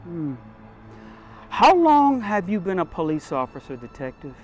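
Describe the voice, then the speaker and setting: Wary, measured police captain. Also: male voice, high-pitched, sing-song, a little mellow, faint music behind. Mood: wary, skeptical. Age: middle-aged. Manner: measured, skeptical. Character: calm, wary, shrewd middle-aged police captain. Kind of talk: scripted short-film acting. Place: outdoors in a parking lot.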